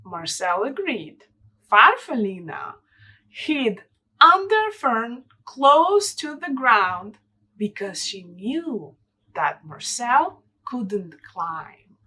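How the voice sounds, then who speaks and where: Bright, expressive woman reading a storybook. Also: fairly high pitch, extremely slow, breathy edge, low-quality recording. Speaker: storyteller for children. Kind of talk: children's storybook narration.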